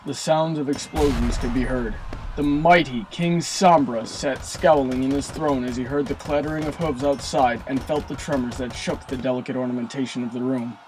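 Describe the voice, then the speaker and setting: A man's voice: mid-range voice, fairly even intonation, normal speed, a little mellow, a little hiss or hum, rough recording. A man reading scripted story narration in a dramatic storytelling style.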